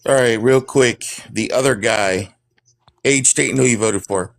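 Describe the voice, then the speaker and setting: A man asking halting questions with frequent ums in an online debate. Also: medium pitch, gravelly. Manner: hesitant, many fillers. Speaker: debate panelist.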